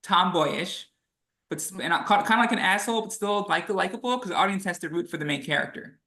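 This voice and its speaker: Woman director in informal discussion, sharp bright tone, stammering starts, reverberant room. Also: on the low side, expressive, quick pace. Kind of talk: casual group discussion. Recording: echoey room.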